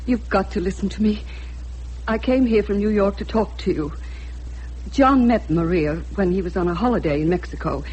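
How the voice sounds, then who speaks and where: An actress playing an anxious middle-aged mother of a missing man in a radio drama, urgent and halting. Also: mid-range voice, a little mellow, husky, lo-fi sound, natural voice. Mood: anxious, pleading. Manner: urgent, halting, stammering with fear. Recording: noisy radio drama recording with hum.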